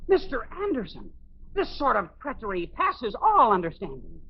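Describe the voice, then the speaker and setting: A woman's voice: on the high side, huge pitch swings, moderate pace, slightly rough, heavily acted. A woman playing a pompous young amateur director in a 1950s radio sitcom, declaiming floridly. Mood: indignant, grandiose. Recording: dull old radio recording.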